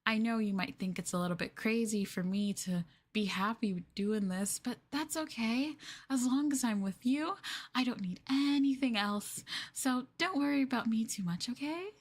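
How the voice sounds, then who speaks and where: A woman playing an obsessive, sweetly possessive young girlfriend in an intimate ASMR roleplay, cooing and coaxing the listener with soft, breathy asides. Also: fairly high pitch, normal speed, lightly acted. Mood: sweetly controlling.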